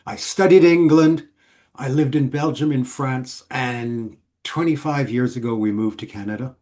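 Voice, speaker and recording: A middle-aged man from Switzerland, a guest author explaining his work in a measured, listing way. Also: medium pitch, warm tone. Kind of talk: casual interview.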